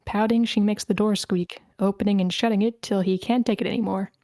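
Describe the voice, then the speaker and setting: A woman's voice: mid-range voice, fairly even intonation, fairly quick, warm tone, dry recording. A woman reading stage directions as narrator at a screenplay table read over an online call. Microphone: video call.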